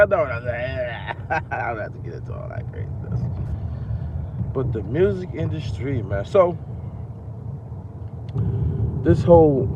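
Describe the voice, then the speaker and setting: A man in his forties from Long Island, New York, vlogging from his car at night, talking in a loose, repetitive way full of slang and fillers, his voice groggy. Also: mid-range voice. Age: 40s. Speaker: rapper and vlogger. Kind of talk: casual talking-to-camera rant. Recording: dull lo-fi phone audio with car noise.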